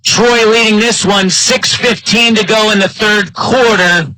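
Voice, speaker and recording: Bright, higher-voiced American man calling football. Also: fairly even intonation, measured pace, no room sound, low-quality recording. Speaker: play-by-play sports announcer. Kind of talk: live American football play-by-play commentary.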